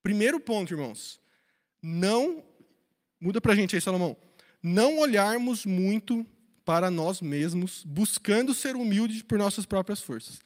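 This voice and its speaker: A man's voice: high-pitched, lively intonation, a little slow. A man with a Brazilian accent giving an informal sermon, speaking colloquially and emphatically as a preacher leading a Bible study. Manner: colloquial, emphatic, acts out imagined dialogue with rhetorical questions. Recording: reverberant hall.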